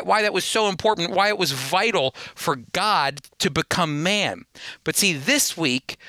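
A man's voice: sits high, sharp tone, dry recording. A man teaching the Bible in an emphatic sermon style, repeating phrases for stress as he explains and reads scripture. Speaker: Bible teacher and show host.

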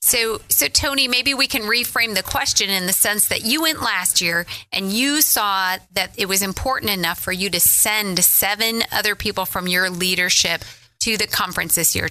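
A woman's voice: mid-range voice, pushed and bright, rough recording. A woman co-hosting a talk show, friendly and playful as she frames her questions to a guest. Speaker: radio show co-host. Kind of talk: casual talk-show chat.

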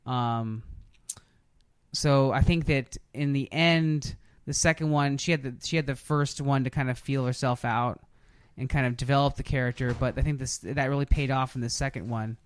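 Male podcast host, mid-pitched, informal and opinionated, dry sound. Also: steady tone, moderate pace, smooth, no room sound. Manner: informal and opinionated, with occasional swearing. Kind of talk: casual chat.

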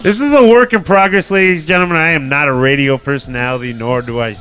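A man's voice: fairly high pitch, quick pace, fairly bright tone, faint music behind. A man hosting a casual wrestling podcast, joking, swearing and rambling loosely. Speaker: podcast host. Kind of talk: casual chat.

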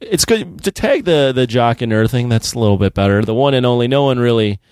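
A man's voice: medium pitch, lively intonation, fairly quick, a little mellow, clear, resonant tone, no echo, clean studio sound. A man in his thirties hosting a podcast, laid-back and chatty, laughing often. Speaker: podcast host. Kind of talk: casual chat.

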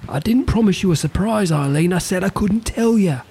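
A man's voice: sits high, expressive, a little fast, vocal fry, warm tone, slightly rough, heavily acted. An actor playing a jokey, playful cockney man in wartime London. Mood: cheerful. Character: cheeky cockney wartime man, mysterious boyfriend.

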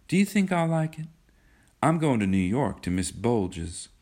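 A man acts out a naive rich teenage girl in a storybook. The delivery is gushing and earnest, proud yet coy. The pitch is lifted well up and moves about in lively swings. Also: a little slow. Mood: proud, chatty. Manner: gushing, ingenuous.

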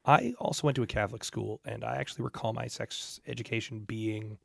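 A man with a lowish, creaky voice shares personal anecdotes in casual conversation. He is measured and thoughtful, qualifying what he says as he goes. The sound is dry. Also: a bit bright, no echo.

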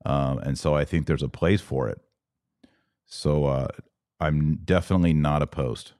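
Low-voiced man, emphatic podcast host. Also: steady tone, normal speed, darkish tone, no room sound, clean studio sound. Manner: didactic, emphatic, rhetorical check-ins like "right?". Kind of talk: opinion commentary, monologue to live viewers.

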